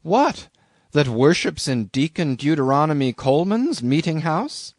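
Man acting a high, hollow-voiced pious old captain. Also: very animated, a little slow, dry recording, clean studio sound. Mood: scandalised. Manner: severe, preachy, archaic speech. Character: stern, pious old male Quaker captain.

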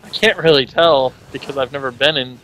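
A man's voice: medium pitch, steady tone, brisk, fairly bright tone, a little hiss or hum, rough recording. A man chatting casually as he plays a co-op video game with a partner. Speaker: gamer on a co-op let's play. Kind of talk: casual gaming chat. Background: game music.

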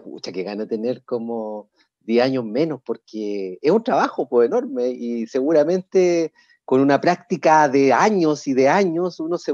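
A man's voice: mid-range voice, warm tone, dry recording. A middle-aged man with a Chilean accent, an actor explaining his craft expressively in an online panel. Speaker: actor in a theatre company. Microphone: video call. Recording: dull, dry video call audio.

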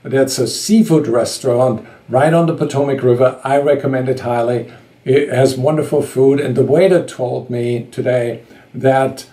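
A man's voice: mid-range voice, mellow. A man in his sixties from Austria, hosting his own vlog and coaching on sales in earnest, emphatic scripted talk to camera. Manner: earnest, emphatic, gestures while talking. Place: indoors before a sky backdrop. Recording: roomy echo.

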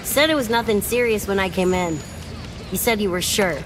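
A woman's voice: medium pitch, moderate pace, background noise, natural voice. A woman voicing a guarded young female drifter in video game dialogue, terse, dismissive and defiant. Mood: curt, irritated.

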